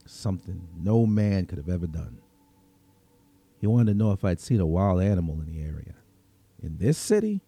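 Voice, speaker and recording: A man's voice: sits low, very animated, normal speed, pauses often, mellow, husky, no echo, exaggerated voice. A man reading a first-person story as a world-weary, streetwise vigilante vampire, in a conversational, brooding Brooklyn accent. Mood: reminiscing. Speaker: story reader.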